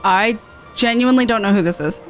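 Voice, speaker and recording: A woman's voice: medium pitch, a little fast. A playful, sweary woman chatting casually while streaming an online game. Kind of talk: casual stream chat and reading game dialogue. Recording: music in the background. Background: game music.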